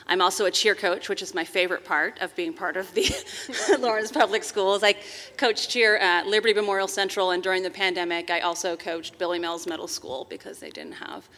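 A woman who coaches cheer introduces herself on a forum panel in a loud, emphatic voice. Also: on the low side, fairly even intonation, fairly quick. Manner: loud, emphatic, laughs while talking. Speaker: school board candidate, cheer coach. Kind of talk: candidate forum statement. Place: large hall, seated on stage panel. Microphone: handheld microphone. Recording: long hall reverb.

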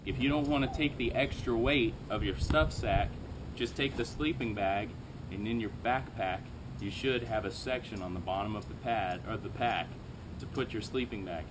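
A man in his thirties with a somewhat low voice gives a relaxed, chatty how-to while kneeling on a backyard lawn. He is picked up by a camera set a little way off, and steady outdoor noise runs under his voice throughout. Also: moderate pace. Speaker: outdoor gear show host. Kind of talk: casual how-to demonstration.

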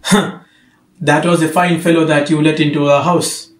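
A man's voice: on the high side, little pitch movement, moderate pace, lightly acted. A man playing a stern, irritable wealthy father in an amateur radio drama, with a blustery, scolding manner. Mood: angry scolding. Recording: echoey room.

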